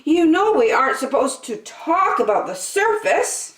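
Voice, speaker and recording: A woman's voice: sits high, big pitch swings, unhurried, fairly bright tone, echoey room, far from the mic, voice pushed up, full character voice. A woman voicing bossy young mermaid sisters in a storybook, shocked and scolding. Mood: alarmed, scolding. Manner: scolding, shrieking.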